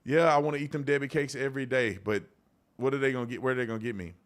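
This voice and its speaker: A man's voice: medium pitch, steady tone, very fast. A middle-aged man hosting a live commentary show, chatting casually and colloquially to viewers and reading out their messages. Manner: conversational, colloquial, addressing viewers directly.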